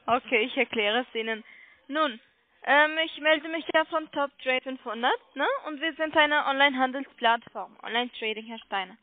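High-voiced woman on a muffled phone line. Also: normal speed, dry recording. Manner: persistent, polite, coaxing with check-back questions. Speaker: telemarketing caller selling online trading. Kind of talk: sales pitch over the phone. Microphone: telephone call.

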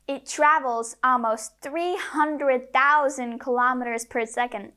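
A young adult woman presenting science to children, narrating a simple English script in a measured, word-by-word way for learners. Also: high voice, bright, forceful tone.

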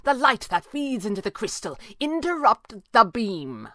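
A woman's voice: low voice, animated, takes it slowly, creaky, bright, forceful tone, dry recording, clean studio sound, heavily acted. A woman voicing a scheming, short-tempered male leader of thieves, commanding and emphatic, in an adventure story. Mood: demanding.

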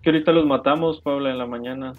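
Fast Mexican man in gaming chat. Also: mid-range voice, steady tone, phone-quality sound. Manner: slangy. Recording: voice chat with music over it.

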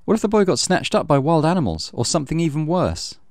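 Man putting on a high-pitched stern, scolding mother. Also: very animated, quick pace, no echo, studio quality. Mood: scolding, worried. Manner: sharp, reproachful, threatening.

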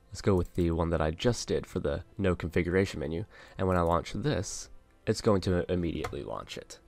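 A man hosting a casual software tutorial, talking through each step in an informal, instructional way. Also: low voice, gravelly, no echo. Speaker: tutorial host. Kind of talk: casual software screen-share tutorial narration. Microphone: close mic, voice-over on a screen recording.

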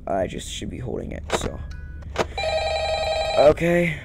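A man's voice: fairly high pitch, animated, takes it slowly. A young man giving casual let's-play commentary, muttering to himself and repeating phrases. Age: young adult. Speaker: gaming YouTuber. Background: game music.

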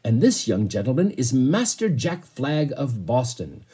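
A man's voice: mid-range voice, sing-song, measured pace, mellow, slightly rough, full character voice. A man voicing a polite, composed butler in a storybook, speaking formally. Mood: courteous. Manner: formal, courteous. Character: polite, unruffled English-style butler, a grown man.

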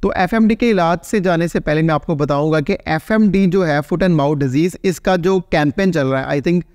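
Man in his 30s, vet explainer to camera, fairly high voice, dry close sound; easygoing and conversational. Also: moderate pace, a little mellow, dry recording. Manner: conversational, keeps adding a checking "right?". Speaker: veterinarian answering viewer questions. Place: small plain indoor room, seated.

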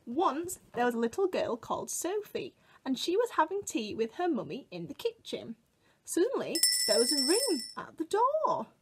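A British woman in her twenties telling a picture-book story to young children in an expressive, exaggerated way, recorded indoors at home. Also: high-pitched, normal speed, a little hiss or hum. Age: 20s. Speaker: children's museum worker reading a story to children. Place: small room at home, indoors.

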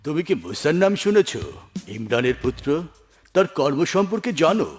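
A man's voice: on the high side, very animated, normal speed, faint music behind. A man voicing a proud, commanding emperor in a cartoon, declamatory and imperious. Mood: imperious, indignant. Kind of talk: dramatic cartoon voice acting.

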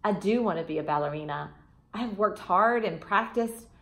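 A woman's voice: mid-range voice, moderate pace, a bit bright, close to an everyday voice. A woman voicing a young ballerina girl, nervous and earnest, in a children's storybook reading. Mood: determined.

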